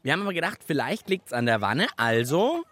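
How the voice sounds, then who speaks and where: A man's voice: a little high, a little fast. A man hosting a children's radio show in a playful, chatty manner. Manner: playful, animated. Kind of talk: lively children's show chat.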